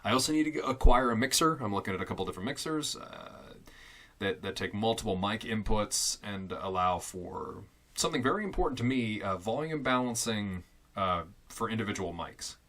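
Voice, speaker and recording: A young man talking casually and loudly into a fixed studio mic in a small foam-lined closet, rambling with fillers and amused asides. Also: fairly low pitch, lively intonation, a little fast. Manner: loud, chatty and self-amused, with many fillers and restarts. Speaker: vlogger testing a home studio. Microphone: fixed studio condenser mic on a stand.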